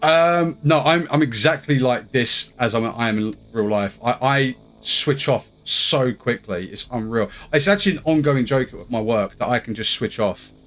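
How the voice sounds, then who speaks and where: A man's voice: medium pitch, moderate pace, few pauses, fairly bright tone. A man streaming a game and chatting casually, laughing often and jumping between thoughts. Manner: chatty, laughs easily, scattered. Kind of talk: casual chat on a live stream.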